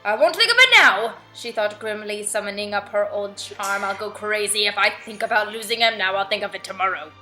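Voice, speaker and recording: A spoiled young Southern belle, acted out by a woman reading a novel, willful and petulant. The voice is bright and dramatic, ringing in a very echoey room with music behind. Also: mid-range voice, expressive, a little fast, few pauses. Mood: desperate, resolute.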